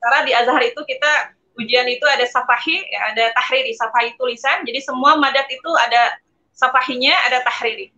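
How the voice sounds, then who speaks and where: A woman's voice: on the high side, fairly even intonation, fast, sharp tone. A woman with an Indonesian accent, a guest speaker informally recounting and explaining personal experiences. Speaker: guest speaker, former student abroad. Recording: slightly dull, lo-fi stream audio.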